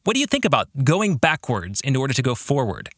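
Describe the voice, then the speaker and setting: A man hosting a reflective solo podcast, speaking in deliberate, emphatic phrases. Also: mid-range voice, huge pitch swings, fairly bright tone, no room sound, clean studio sound. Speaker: podcast host and author.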